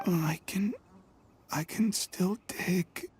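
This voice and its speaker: Exhausted, very slowly whispering miner. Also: male voice, high voice, background noise. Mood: weak, delirious. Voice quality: weak, whispering. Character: exhausted, delirious miner murmuring in a stupor. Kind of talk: video game voice acting.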